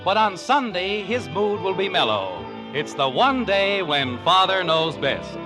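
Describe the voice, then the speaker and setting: A man's voice: high voice, very animated, a little slow. A man playing a genial, whimsical 1950s radio announcer, with theatrical, neighborly delivery on an old broadcast. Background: music.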